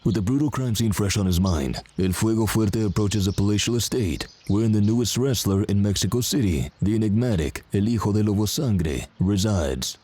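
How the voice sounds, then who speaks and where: A man's voice: on the low side, brisk, few pauses, mellow, husky. A man delivering dramatic scripted narration as the narrator of an audio drama.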